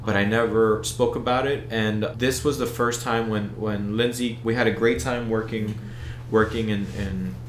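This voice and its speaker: A man's voice: fairly low pitch, fairly even intonation, moderate pace, hardly any pauses, roomy sound, a little hiss or hum, low-quality recording. A man with an American accent, talking casually as an interview guest and circling back through his sentences. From United States. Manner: rambling, many restarts and fillers. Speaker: event organizer, guest.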